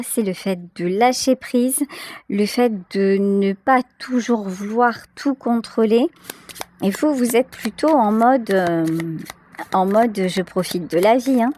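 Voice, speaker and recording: A woman giving a tarot reading straight to the listener, reflective and pausing to search for her words. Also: mid-range voice, darkish tone, dry recording. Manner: hesitant, reflective, searching for words with pauses and repetitions. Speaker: tarot reader, channel host. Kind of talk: intuitive tarot reading addressed to the viewer.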